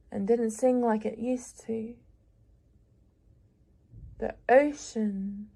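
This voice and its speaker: A young woman reading her own lyrical prose aloud in a measured, reflective way with long pauses. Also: on the high side, gravelly, dark tone. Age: young adult. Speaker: prize-winning young writer.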